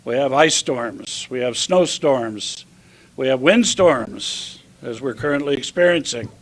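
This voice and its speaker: A man speaking as a county official at a public announcement, delivering his remarks deliberately, phrase by phrase. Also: medium pitch, expressive, poor audio. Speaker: county commissioner. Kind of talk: public speech at a press announcement.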